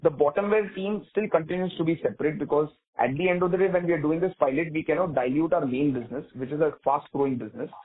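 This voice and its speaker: A man's voice: on the high side, fast, hardly any pauses. Indian-accented man on a tinny phone line. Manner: explanatory, repeats and rephrases points. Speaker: company executive answering analysts. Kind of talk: earnings call question and answer. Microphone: telephone conference call.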